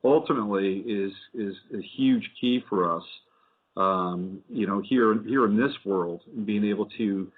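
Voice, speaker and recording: A man hosting a radio interview, talking in a halting way with many fillers as he asks his questions. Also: on the low side. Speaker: radio show host. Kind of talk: interviewing, conversational. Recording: tinny phone-like sound.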